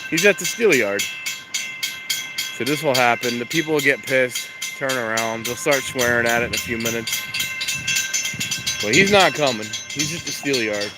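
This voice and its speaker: A man's voice: medium pitch, normal speed, long pauses, loud music behind the voice. A man with a stuffed-up nose chatting casually as a train-spotting vlogger outdoors at a railroad crossing, filming on a camera mic. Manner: rambling, chatty, easygoing. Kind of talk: casual live-stream chat. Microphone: handheld camera mic, wind noise. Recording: loud traffic and outdoor noise.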